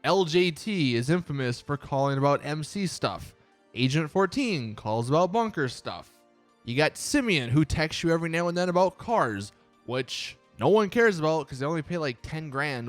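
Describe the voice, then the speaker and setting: A man's voice: on the high side, lively intonation, moderate pace. A young adult man giving casual, exasperated and emphatic commentary over gameplay as a gaming video creator. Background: background music.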